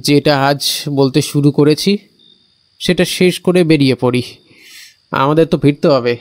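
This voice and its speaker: A man's voice: on the high side, unhurried, voice pushed up, lightly acted. A man voicing a plain-spoken, earnest villager who recounts frightening events in an audio story. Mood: earnest, recounting.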